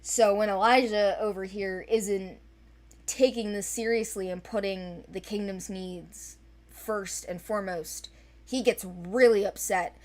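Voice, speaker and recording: A woman's voice: mid-range voice, steady tone, unhurried. A woman chatting casually about fictional characters, rambling and correcting herself with enthusiasm.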